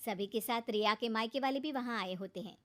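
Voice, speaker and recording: Woman narrator with a high voice reading out a cartoon story. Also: a little fast, a bit bright.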